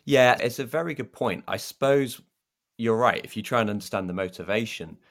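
A man with a very deep-pitched voice co-hosts a football podcast. He talks casually and conversationally, explaining his points in earnest. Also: a little fast, fairly bright tone. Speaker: podcast co-host.

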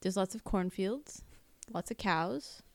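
A woman's voice: medium pitch, slow pace, dry recording. A young woman from Ontario chatting on a podcast with a wry, self-deprecating sense of humor. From Ontario, Canada. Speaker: podcast team member. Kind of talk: casual team chat.

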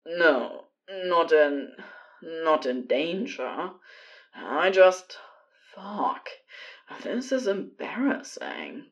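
An agitated, evasive man phoning for help in an audio story, played by a woman. He is embarrassed and strained, speaking in curt, hesitant bursts at a lowish pitch for the performer. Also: sing-song, extremely slow, highs cut off, heavily acted.

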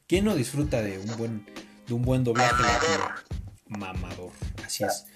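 A man with a Mexican accent talking casually in an opinionated, slangy, expressive way, as a podcast co-host. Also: mid-range voice, normal speed, over loud music.

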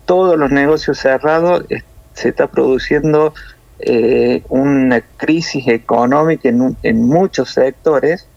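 A man from Córdoba with a Cordoban Argentine accent, a nurse explaining things in a measured way with many hesitations over a phone interview. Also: medium pitch, a little mellow, dry recording, dull audio. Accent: Cordoban Argentine Spanish.

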